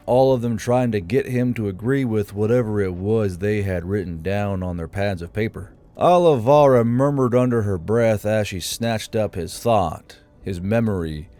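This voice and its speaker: A man's voice: lowish voice, measured pace, few pauses, warm tone. A man reading scripted narration for a fantasy audiobook as its narrator.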